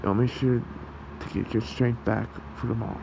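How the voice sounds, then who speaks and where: A man voicing a gentle, protective fox creature in an ASMR roleplay, with a soothing, halting, gravelly delivery. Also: medium pitch, fairly even intonation, background noise, close to an everyday voice. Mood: tender, reassuring. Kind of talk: ASMR roleplay, soft comforting talk.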